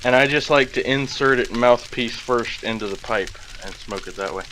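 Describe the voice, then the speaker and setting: A man's voice: medium pitch, moderate pace, a little hiss or hum, rough recording. A man casually chatting with his chat while live-streaming a game at home, rambling and chuckling. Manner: relaxed, rambling, chuckling asides.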